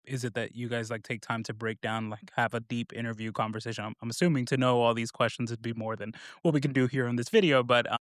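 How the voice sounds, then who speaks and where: A man's voice: medium pitch, steady tone, fast talker, hardly any pauses, no room sound, clean studio sound. A man in his thirties hosting a podcast, asking loose, rambling questions full of fillers. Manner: rambling, filler-heavy questions with frequent laughs. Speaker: podcast host. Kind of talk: casual interview chat.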